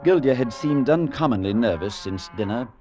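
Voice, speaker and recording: An actor playing a gentle priest who narrates an old-time radio drama in a measured way. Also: male voice, mid-range voice, expressive, lightly acted. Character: kindly male priest narrating. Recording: dull vintage recording with music.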